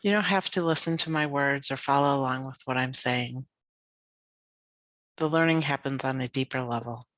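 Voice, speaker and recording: Woman guiding a slow, gentle meditation. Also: extremely low pitch, no room sound. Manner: gentle, soothing, unhurried with long pauses. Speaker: yoga nidra teacher. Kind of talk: guided meditation narration.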